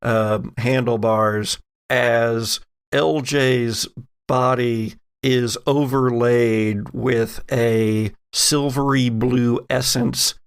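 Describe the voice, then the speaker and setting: A man running a tabletop role-playing game as host, narrating deliberately and dramatically in short phrases. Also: mid-range voice, steady tone, clean tone, dry recording, studio quality. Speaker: game master and podcast host.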